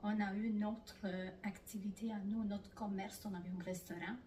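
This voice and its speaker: A middle-aged woman from Italy speaks French with a clear Italian accent. Her speech is casual and hesitant, full of fillers. She sounds set back from the mic in a roomy space with faint background noise. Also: mid-range voice, fairly even intonation, moderate pace, warm tone, distant mic. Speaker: guest. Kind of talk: casual interview.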